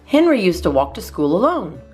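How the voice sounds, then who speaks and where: A woman reading a children's storybook aloud as a storytime host, expressively and in measured phrases with deliberate pauses. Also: on the low side, big pitch swings, darkish tone, faint music behind.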